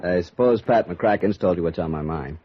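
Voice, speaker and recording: An actor playing a mild-mannered, quietly worried male insurance broker, measured and formally polite, in a 1950s radio drama. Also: low-pitched, a little hiss or hum, close to an everyday voice. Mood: courteous. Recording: muffled dry old radio recording.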